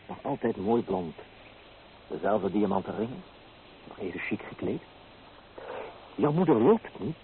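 Through a dull, lo-fi old radio recording comes a young male painter in a radio play. His voice is fairly low and very animated, with a theatrical, teasing, free-spirited air. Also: measured pace, long pauses, a little hiss or hum. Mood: teasing, surprised.

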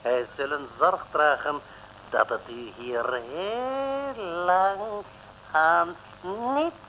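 An actor playing a flowery, over-polite scheming villain who speaks stilted broken Dutch in an old radio comedy. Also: male voice, on the high side, drawn-out words, bright, forceful tone, exaggerated voice. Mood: gloating, ceremonious. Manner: ceremonious, stilted broken Dutch with ornate phrases. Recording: noisy old radio recording.

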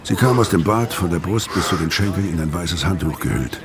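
A man's voice: low voice, animated, a little fast, crowd noise. An actor playing a bitter, curt, dying hitman who also narrates in a terse, world-weary way, in a noir radio drama. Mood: brooding narration. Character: gruff terminally ill male hitman, bitter and curt. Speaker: first-person narrator and lead character. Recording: studio radio play with music.

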